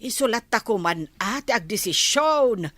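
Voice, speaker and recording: Excitable Ilocano auntie played by an actress, swooping pitch. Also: female voice, moderate pace, dry recording. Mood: scandalized. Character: excitable gossipy older village auntie running a canteen. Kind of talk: Ilocano comedy radio drama.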